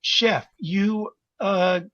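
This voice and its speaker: A man's voice: high voice, very slow, sharp tone, no echo, highs cut off, exaggerated voice. A man playing a flustered, self-important and oblivious interviewer in a comic audio drama, fussy and earnest in delivery. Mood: earnest narrating.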